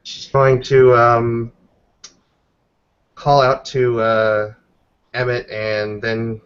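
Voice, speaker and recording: A man talks casually as a player in a tabletop roleplaying game, narrating what a character does. His voice has an ordinary pitch. The recording is dull and lo-fi. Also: level tone, extremely slow, clean tone.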